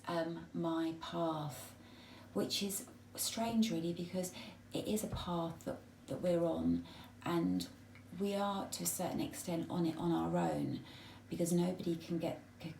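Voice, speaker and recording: Husky, croaky woman with a dry throat. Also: fairly low pitch, a little hiss or hum, poor audio. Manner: soft, halting, with many restarts and ums. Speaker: vlogger. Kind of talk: casual personal message to viewers.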